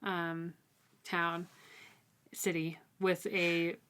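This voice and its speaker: A woman with a slightly low, clean and resonant voice, hosting a casual book discussion. She speaks informally and thoughtfully, working through her points in a conversational way. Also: flat delivery, drawn-out words, fairly bright tone, smooth. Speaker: podcast host.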